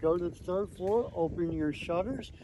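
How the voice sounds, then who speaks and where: A man's voice: high-pitched, moderate pace, mellow, slight background noise, low-quality recording. A man speaking as a tour guide, explaining things step by step in short, emphatic declarative phrases.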